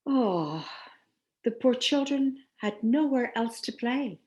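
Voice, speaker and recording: A woman's voice: fairly high pitch, lively intonation, slow pace, a little mellow. A woman storyteller reading a children's story aloud, expressively and with dramatic emphasis.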